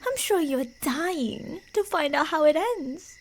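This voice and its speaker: A woman's voice: high voice, animated, takes it slowly. A woman performing ASMR horror roleplay as a creepy, delusional stalker, narrating in a playful, menacing way that slips into whispers. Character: creepy, teasing, delusional female yandere stalker narrating her prey's path.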